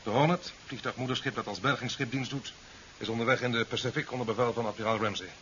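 A man's voice: medium pitch, steady tone, fast, sharp tone, close to an everyday voice. A man playing a sceptical, career-minded president in a 1970s science fiction radio play, formal and dry. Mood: businesslike, official. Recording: dull 1970s radio recording with hiss.

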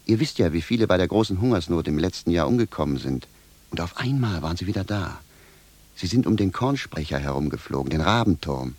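An actor playing a simple, bewildered and stubborn village cobbler in a radio drama, speaking bluntly and with a sense of grievance. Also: male voice, deep voice, expressive, brisk, a little mellow. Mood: earnest, recounting. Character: plain-spoken, bewildered, stubborn village cobbler, grumbling indignantly. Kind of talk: theatrical radio drama dialogue.

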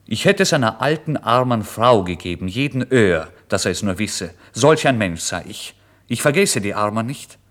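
A man's voice: on the low side, lively intonation, moderate pace. An actor playing a starving, feverish, proud man who narrates his own torment in a theatrical, intense radio monologue. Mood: indignant, haughty. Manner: theatrical, intense, swinging from hushed to loud outbursts. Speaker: first-person narrator. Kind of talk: dramatic literary monologue for radio.

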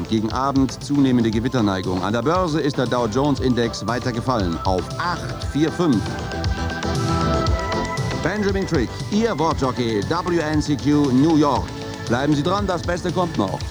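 An actor playing a brash, showy American radio news jockey of the future in a German radio play. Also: male voice, mid-range voice, close to an everyday voice. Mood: teasing, showy. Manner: showy, teasing listeners. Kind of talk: radio newscast and moderation in a radio play.

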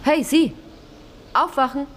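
An actress playing a wry, probing narrator and investigator in a radio drama, speaking in a dry, insistent way. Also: female voice, high voice, slow pace, a little hiss or hum. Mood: brusque.

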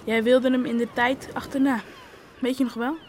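An actress playing a gently teasing, encouraging confidante in a Dutch radio drama. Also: female voice, a little high, little pitch movement, normal speed, slight background noise, natural voice.

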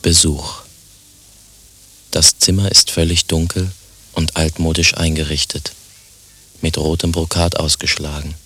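A man's voice: extremely low pitch, measured pace, long pauses, husky, soft background music, full character voice. A man narrating a radio play as a dry, detached voice reading stage directions. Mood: deadpan. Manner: deadpan.

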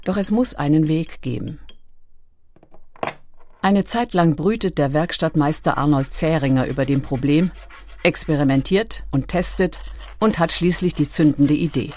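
A woman reading a scripted documentary narration with measured, dramatically phrased storytelling. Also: low voice, warm tone, slight background noise. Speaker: audiobook narrator.

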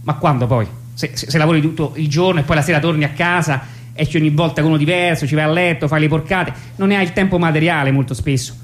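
An actress playing a brash, self-absorbed young poll interviewer who rambles and argues sarcastically in a comic radio play. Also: female voice, low voice, fairly even intonation, fast, close to an everyday voice. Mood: brazen.

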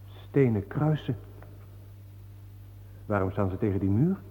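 An actor playing a troubled, easily appalled companion, asking earnest, uneasy questions in a radio play. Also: male voice, fairly low pitch, lively intonation, a little slow, slightly rough. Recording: noisy, tinny phone-like old radio recording.

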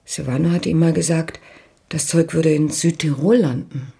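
An anxious, loyal woman under questioning in a radio crime drama. Her voice is very raspy with a gravelly creak, and she sounds subdued and defensive. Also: low voice, steady tone, normal speed, dark tone, full character voice. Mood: reluctant confession. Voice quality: husky, creaky. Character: anxious, loyal woman reluctantly confessing to police. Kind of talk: German radio drama acting.

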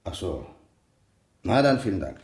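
An actor playing a courteous, helpful police sergeant in a German radio crime play, speaking in a businesslike way. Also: male voice, deep voice, sing-song, a little slow, a little mellow, roomy sound, slight background noise. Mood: helpful, matter-of-fact.

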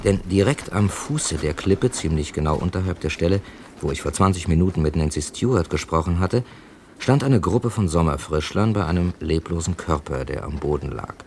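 A wry amateur drawn into a mystery, telling it in a low, dry voice. He sounds like the lead of an old German radio thriller, with faint noise on the recording. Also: a little mellow. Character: male first-person crime narrator, wry, drawn into trouble. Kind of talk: 1950s-80s German radio crime play acting.

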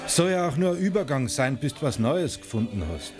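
A man's voice: a little high, lively intonation, fairly quick, over music, lightly acted. An actor playing an honest, fatherly guardian in a radio drama, pleading and moralising. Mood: earnest, persuading. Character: earnest, honest, fatherly male guardian, exasperated. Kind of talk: 1980 German radio drama acting.

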